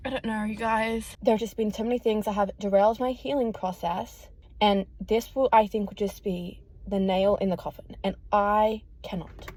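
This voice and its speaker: A woman's voice: medium pitch, fairly even intonation, normal speed, darkish tone. A woman of about twenty talking casually and confessionally as a vlogger, with a dramatic, rambling, self-deprecating manner. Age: about 19, nearly 20. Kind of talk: casual confessional chat to camera.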